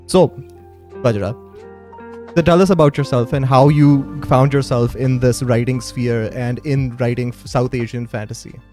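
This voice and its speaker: A man's voice: medium pitch, steady tone, normal speed, a little mellow. A male podcast host speaks in a mid-range voice, informal and wordy. His sentences ramble a little as he leads a casual interview, with faint music underneath.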